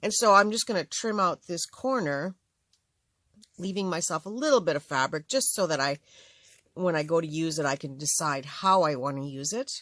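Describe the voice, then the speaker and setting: An older woman with a slightly raspy voice hosting a casual, rambling craft tutorial, full of ums and asides. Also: low-pitched, normal speed, a bit bright, no echo, clean studio sound. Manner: rambling, chatty, many fillers. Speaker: craft channel host.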